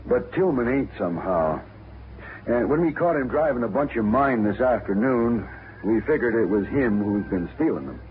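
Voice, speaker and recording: A man's voice: medium pitch, lively intonation, close to an everyday voice. A man playing a blunt frontier rancher. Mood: awkward. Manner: slow rural drawl, blunt. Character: rough frontier man leading a lynching, plainspoken. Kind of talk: 1950s radio drama acting. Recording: tinny old radio broadcast with hiss.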